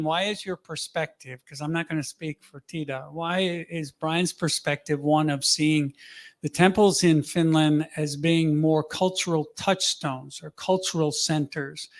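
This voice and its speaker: A man speaking to an audience in a casual, halting way, full of fillers and restarts. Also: on the high side. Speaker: speaker on a panel.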